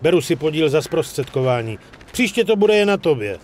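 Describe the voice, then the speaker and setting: An actor playing a brash, cocky, streetwise young mobster buddy with a swaggering, slangy way of talking in a crime game. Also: male voice, sing-song, darkish tone, a little hiss or hum. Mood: breezy, streetwise. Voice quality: high-pitched, slangy, swaggering. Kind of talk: dubbed crime game dialogue.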